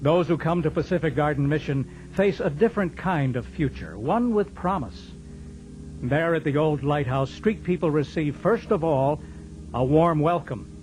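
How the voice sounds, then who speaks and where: A man's voice: a little high, animated, moderate pace, darkish tone, a little hiss or hum, low-quality recording. A man with an American accent reading scripted narration as the announcer of a radio drama.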